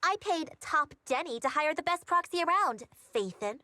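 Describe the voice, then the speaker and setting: A feisty, thin-skinned young woman from an anime-style game. She is high, sharp and lively in pitch, scolding indignantly. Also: moderate pace, no room sound.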